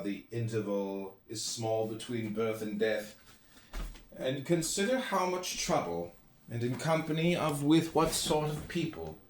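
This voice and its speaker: A man reading classical philosophy aloud in a deliberate, declamatory manner with emphatic pauses. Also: fairly low pitch, slight background noise. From United States. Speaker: reader, podcast host.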